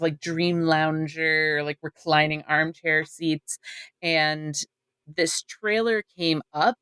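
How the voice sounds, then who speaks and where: A woman's voice: fairly low pitch, a bit bright, clean tone, dry recording. A woman hosting a podcast, talking casually in a panel discussion. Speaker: podcast host.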